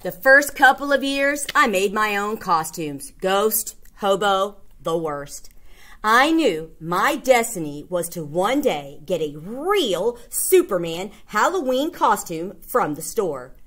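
A woman's voice: medium pitch, sing-song, slow pace, a bit bright. A woman reading a children's picture book aloud, expressively and with emphatic delivery. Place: indoors, small classroom or office.

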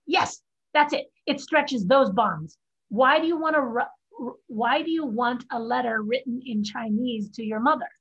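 Cheeky little-sister scribe for stage drama, female reader: blunt, teasing, husky voice, dull lo-fi call audio. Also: a little high, a little slow, fairly bright tone, exaggerated voice. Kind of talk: staged play reading over video call. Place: home room on video call. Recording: muffled video call audio.

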